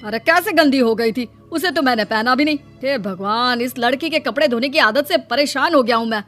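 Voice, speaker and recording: A woman's voice: sits high, brisk, fairly bright tone. A woman voicing an exasperated, grumbling middle-aged father in a cartoon story.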